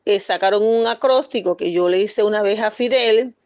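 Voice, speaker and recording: A woman's voice: mid-range voice, moderate pace, no room sound, highs cut off. Firm woman activist. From Panama. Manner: firm, insistent, with hesitations. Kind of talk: impassioned statement to press.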